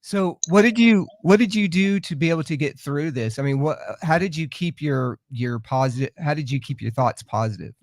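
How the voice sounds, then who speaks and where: A man's voice: fairly high pitch, moderate pace, hardly any pauses, no room sound, clean studio sound. A man hosting an interview, asking encouraging and reflective questions. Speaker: interview host.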